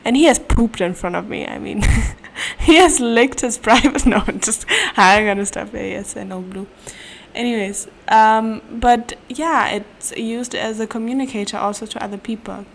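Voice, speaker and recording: A woman's voice: fairly high pitch, moderate pace, gravelly, slight background noise. Chatty young woman with a German accent. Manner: chatty, giggly, playful asides. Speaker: podcast host. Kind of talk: casual solo podcast chat. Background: faint room ambience, cat nearby.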